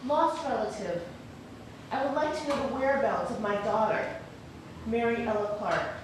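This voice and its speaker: In a noisy, very echoey hall, a woman plays a freed mother searching for her daughter. She reads the old notice formally from some distance, in a slightly raised pitch. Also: moderate pace, lightly acted. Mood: formal, hopeful. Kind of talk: dramatic reading of a historical ad.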